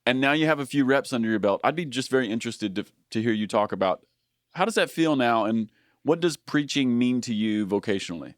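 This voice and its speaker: A man's voice: medium pitch, lively intonation, a little fast, dry recording, clean studio sound. A man in his forties hosting a podcast interview, earnest and encouraging, asking long wordy questions. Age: 40s. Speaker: podcast host.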